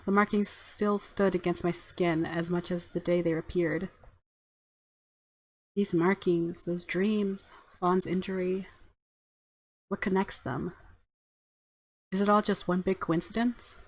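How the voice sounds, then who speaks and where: A woman's voice: on the low side, fairly even intonation, measured pace, pauses often, dry recording. A woman game streamer reading a visual novel aloud and reacting casually. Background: faint game music.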